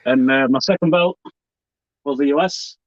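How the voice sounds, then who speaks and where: A man talks about his hobby in a plain, halting manner, with his pitch in a middle range for a man. His voice comes through a dull, lo-fi call line and sounds dry, with no room echo. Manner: halting, plainspoken, many fillers. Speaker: professional wrestler guest. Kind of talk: casual interview chat.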